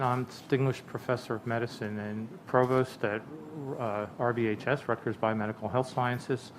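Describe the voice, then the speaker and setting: A man's voice: mid-range voice, poor audio. A man speaking informally at a roundtable as a medical professor and provost. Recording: event microphone with faint room noise.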